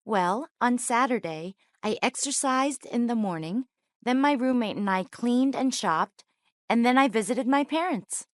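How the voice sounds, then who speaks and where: Woman acting a friendly young woman, high voice, dry close recording, scripted learner dialogue. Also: expressive, unhurried, dry recording. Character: friendly young woman in everyday textbook conversation. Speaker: voice actor in English lesson dialogues.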